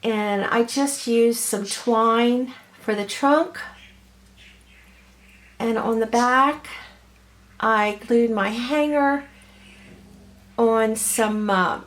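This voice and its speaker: A woman with a cold, a little hoarse, chatting gently through a craft tutorial with many pauses and ums. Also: on the high side. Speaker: crafter showing her ornaments.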